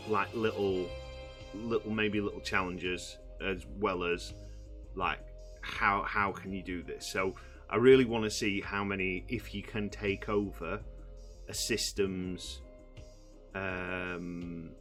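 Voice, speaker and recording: A man, croaky from just waking up, rambling through casual gameplay commentary with many fillers. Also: sits low, fairly even intonation, takes it slowly, a bit bright, faint music behind. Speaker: gaming channel host.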